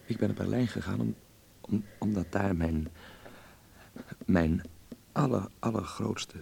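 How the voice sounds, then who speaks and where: An actor playing a gentle, teasing young man devoted to his work in a Dutch radio play, speaking tenderly and a little haltingly. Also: gravelly, breathy edge, heavily acted. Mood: earnest. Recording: noisy radio play recording with low rumble.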